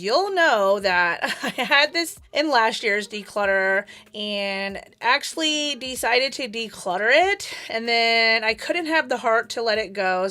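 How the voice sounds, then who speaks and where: A woman beauty vlogger talks casually to her viewers, her voice still raspy after she lost it to a virus. She sits a little high in pitch with a bright, forward tone. She chats along easily, weighing up each item aloud. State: raspy, recovering from losing her voice. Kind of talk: casual chat to camera.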